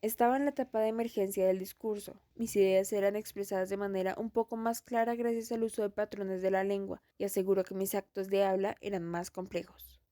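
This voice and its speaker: A woman reading a first-person account aloud for a student project, at a steady, even pace. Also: medium pitch, brisk, few pauses, no echo. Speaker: student presenter.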